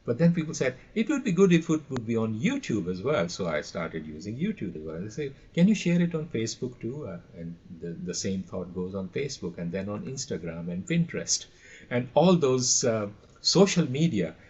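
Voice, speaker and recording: A man's voice: fairly low pitch, very animated, moderate pace, a little mellow, husky. A middle-aged or older man with an Indian accent answering interview questions as a guest trainer, telling anecdotes and repeating phrases for emphasis. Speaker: audio and radio trainer, podcast guest. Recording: dull, lo-fi call audio.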